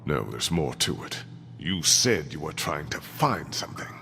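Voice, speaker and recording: An actor playing a menacing, impatient, hot-tempered bully in a video game, scornful and domineering, with a gravelly voice. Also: male voice, rumbling low, very animated, normal speed, bright, forceful tone, big-room sound, faint music behind, full character voice.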